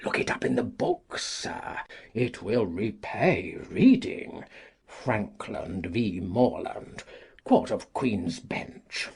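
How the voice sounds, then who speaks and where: Husky, cantankerous old country gentleman. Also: fairly low pitch, very animated, slow, heavily acted. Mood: gloating. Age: older. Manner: pompous, theatrical, indignant. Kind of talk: dramatised classic audiobook acting.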